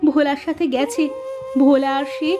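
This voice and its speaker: Woman playing respectful young village wife, high-pitched. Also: little pitch movement, normal speed, lo-fi sound, natural voice. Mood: concerned. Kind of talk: cartoon drama dubbing. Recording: music behind the voice.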